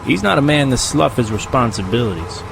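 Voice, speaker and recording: An actor playing a wry, loyal soldier with a gravelly voice in an audio drama. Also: male voice, medium pitch, big pitch swings, a little fast, noisy background. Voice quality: gravelly, creaky. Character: wry, loyal male soldier teasing his commander.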